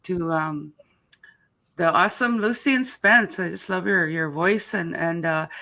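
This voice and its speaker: A woman's voice: fairly low pitch, unhurried, pushed and bright. A woman hosting a live online interview over a video call, hesitant, reading out viewer comments between questions. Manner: hesitant, reads out viewer comments, many fillers. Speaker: host.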